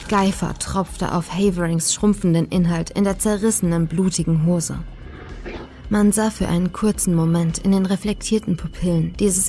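A woman reading a horror story as a scripted, dramatic narration, measured and suspenseful. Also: lowish voice, warm tone. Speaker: audiobook narrator. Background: faint atmospheric music.

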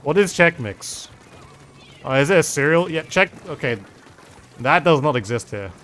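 A man's voice: fairly high pitch, expressive, moderate pace, fairly bright tone, faint music behind. Chatty English man streaming a game. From England. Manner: chatty, reads and answers chat, often says "dude". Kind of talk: casual live-stream chat while gaming.

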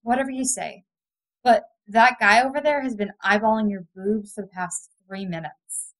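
A woman's voice: medium pitch, normal speed, fairly bright tone, lightly acted, rough recording. A woman playing a supportive, frank, fun-loving friend in an audio drama. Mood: encouraging. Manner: encouraging, frank.

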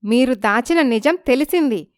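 A woman acts out a naive village wife in a dramatized moral story. Her voice is high and smooth, with a wronged, indignant edge. She questions and pleads like someone who has been deceived. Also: lively intonation, moderate pace, no echo, clean studio sound, natural voice.

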